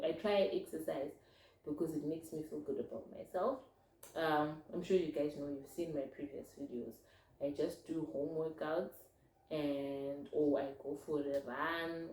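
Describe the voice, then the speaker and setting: A woman's voice: sits low, dark tone, recorded from a distance. A woman in her thirties chatting casually to camera as a vlogger, rambling with fillers. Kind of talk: casual chat. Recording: roomy echo, from the mic.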